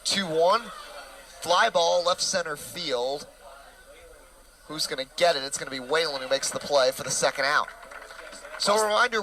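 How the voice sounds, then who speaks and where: A young man calling a college baseball game play-by-play, brisk and packed with stats. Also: on the high side, rough recording. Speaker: play-by-play announcer.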